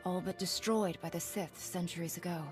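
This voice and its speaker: An actress playing a calm, diplomatic female Jedi commander in a video game, polite and composed. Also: fairly low pitch, moderate pace, faint music behind, lightly acted. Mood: polite, thoughtful. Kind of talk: video game cutscene acting.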